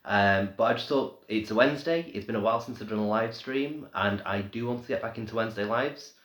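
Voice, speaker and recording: A young man who is still ill talks in a slightly nasal, lowish voice, rambling through a casual live stream update with frequent ums and false starts. The sound is roomy and echoey, as if recorded in a bare room. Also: a little fast, a bit bright. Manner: rambling, many ums and restarts. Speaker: paranormal blogger.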